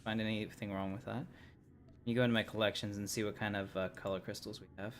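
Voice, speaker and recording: A man streaming himself playing a game, talking in a loose, rambling way into a desk mic. His voice sits a little low. A faint hiss runs under it. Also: flat delivery, fast. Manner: rambling, easygoing, many fillers. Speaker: livestream gamer. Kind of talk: casual gameplay commentary.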